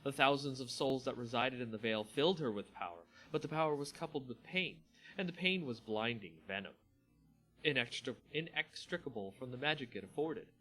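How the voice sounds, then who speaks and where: A man reading a fantasy story aloud as a theatrical, deliberate dramatic narrator. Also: medium pitch, fairly bright tone, rough recording.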